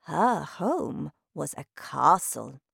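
A woman voicing a calm, sly old grandmother in a children's storybook, speaking in a measured, soft, knowing way. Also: sits low, creaky, breathy edge, no room sound, studio quality, exaggerated voice. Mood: sly, secretive.

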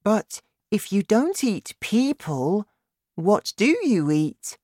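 Little storybook girl, played by a man: outspoken, exclaiming, high pitched, hugely animated intonation, unhurried and earnest. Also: no echo, clean studio sound, full character voice. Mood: curious.

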